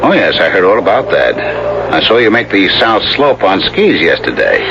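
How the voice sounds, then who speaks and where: Urgent, swooping low-voiced man, old radio. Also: sing-song, normal speed. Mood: urgent, goading. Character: urgent, insistent male companion goading a frightened woman. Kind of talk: 1970s radio drama acting. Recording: muffled, noisy old radio recording.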